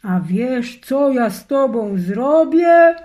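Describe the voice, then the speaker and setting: A woman voicing a cruel, hateful male sorcerer in a storybook reading, loud and slow. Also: high-pitched, lively intonation, pitched above the performer's own voice. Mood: mocking.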